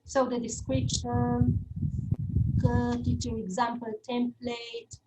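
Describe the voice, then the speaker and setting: A woman's voice: on the high side, monotone, slow, heavy background noise. Hesitant Hebrew-accented woman lecturing. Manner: hesitant, frequent fillers and restarts. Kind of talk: online webinar lecture.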